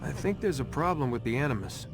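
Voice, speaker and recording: A man's voice: lively intonation, fast, music in the background, natural voice. A man playing a skeptical young captive in a video game, speaking casually with wry questioning. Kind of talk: video game cutscene acting. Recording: loud background rumble.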